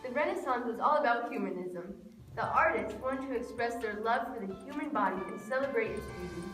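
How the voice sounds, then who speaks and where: Woman narrating a puppet show, kinda high voice, echoey, music in the back. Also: lively intonation, fairly quick, a bit bright. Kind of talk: scripted narration. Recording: echoey room, distant mic, music behind.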